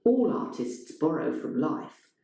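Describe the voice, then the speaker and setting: A woman's voice: deep voice, very animated, slow pace, gravelly, dark tone. A woman reading a scripted story as dramatic narration. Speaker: reader of a play-like story. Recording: very echoey, reverberant room.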